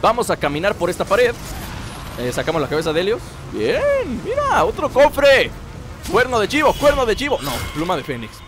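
A young Mexican man with a high, bouncy voice and a stuffy, cold-roughened tone talks through his gameplay in a casual, joking way. His pitch swings widely as he teases the game and yells at the action, with loud game music playing underneath. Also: moderate pace. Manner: playful, jokey, bursts into excited shouts. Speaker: gameplay commentator. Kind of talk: casual let's-play commentary.

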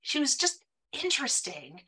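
A woman in her thirties, raised in Minnesota, chatting as a guest on a video-call podcast, animated and full of casual fillers. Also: high-pitched, moderate pace, breathy edge, poor audio. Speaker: podcast guest, sales executive. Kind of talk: casual interview chat. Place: home office, small room.

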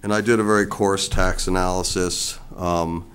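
A man in his sixties with a big, loud voice presenting a land request to a town board at a table microphone. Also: sits low, steady tone, moderate pace, clear, resonant tone. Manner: loud, plain-spoken presentation. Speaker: federal forest service representative presenting to a board. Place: town meeting room, at a table.

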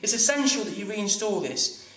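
A fairly high-voiced man in his thirties walks learners through a plumbing rig in a workshop, practical and instructive, now and then stumbling and restarting a phrase. He is picked up from a little way off the mic, and the room rings with echo. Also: sing-song, normal speed, distant mic, noisy background. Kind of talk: hands-on demonstration lesson. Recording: echoey room, voice a bit off-mic.

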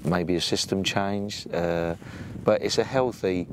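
An English man in his sixties with a booming voice, speaking plainly with many ums and restarts as an assistant football coach in a sit-down interview outdoors by a training pitch. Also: takes it slowly, noisy background. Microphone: off-camera mic, no lapel.

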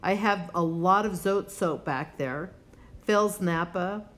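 A woman's voice: lowish voice, takes it slowly, fairly bright tone. A woman hosting a preparedness vlog, talking casually and instructively, plainspoken and speaking straight to the viewer. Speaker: host of a prepping channel.

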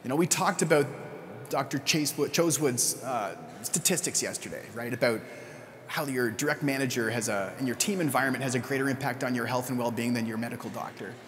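A man giving a conversational conference keynote, with fillers and quick self-corrections. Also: mid-range voice, fairly bright tone, big-room sound, slight background noise. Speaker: keynote speaker.

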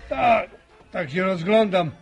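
A man's voice: high voice, slow, creaky, faint music behind, full character voice. An actor playing a blunt, jovial village man of some authority in a radio serial, with emphatic, folksy speech. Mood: irked. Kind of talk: Polish radio serial acting.

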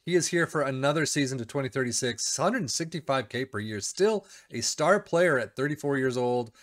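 A man's voice: medium pitch, animated, normal speed, a bit bright. Middle-aged male gaming creator, mid-range voice, casual commentary, small home room. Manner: chatty, reads stats aloud with asides. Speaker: video game YouTuber. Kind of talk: casual commentary on a game save.

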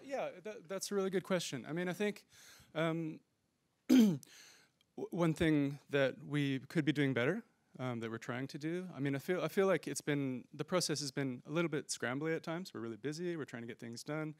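A man's voice: fairly high pitch, normal speed, no room sound. A man on a conference panel, one of its organizers, speaking thoughtfully and hedging into a handheld microphone. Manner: thoughtful, hedging, frequent fillers and restarts. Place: conference room stage.

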